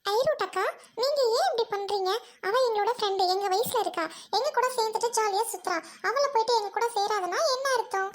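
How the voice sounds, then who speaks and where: Woman acting as young village girl, extremely high childlike pitch, loyal peacemaker, pleading colloquial talk, village drama. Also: fairly quick. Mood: protesting.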